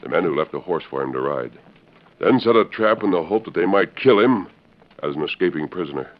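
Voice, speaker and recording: Western radio hero: very low, authoritative, clipped and formal, on a dull vintage recording. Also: male voice, animated, fairly quick. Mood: firm, assured. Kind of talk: 1940s radio acting.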